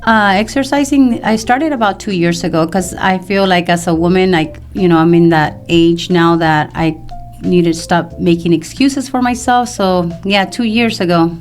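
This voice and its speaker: A woman in her fifties from El Salvador speaks in a fairly low register. She talks as a podcast guest, explaining things in a loose, conversational way, with soft music underneath. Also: moderate pace. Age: 50s. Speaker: mortgage loan professional, podcast guest. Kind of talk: casual interview conversation. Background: music in the background.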